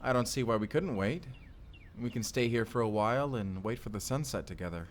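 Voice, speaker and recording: A man's voice: medium pitch, animated, brisk, slight background noise, natural voice. A man playing a tender, boyish husband from the future in an intimate, bashful, rambling romantic audio roleplay. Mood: playful, affectionate. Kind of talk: romantic audio roleplay acting, addressed to listener.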